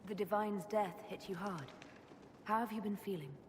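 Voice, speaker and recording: A woman playing a calm, caring young leader in a video game, speaking evenly and reassuringly. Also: medium pitch, moderate pace, close to an everyday voice. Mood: calm, supportive. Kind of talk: video game cutscene acting. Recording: hall reverb, faint background noise.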